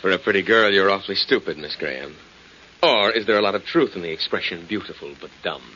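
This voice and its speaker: Guy doing smug menacing 1940s radio crook, condescending, deepish voice, hissy old recording. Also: quick pace, highs cut off, close to an everyday voice. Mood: smug, scornful. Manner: condescending, sneering, mock-courteous. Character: smug, menacing male secretary suspected of murder. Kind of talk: 1940s radio drama acting.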